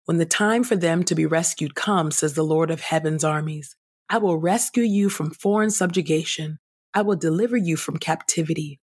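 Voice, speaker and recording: A woman reading scripture aloud as a podcast host, in a measured, declarative way. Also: sits low, a little mellow, breathy edge.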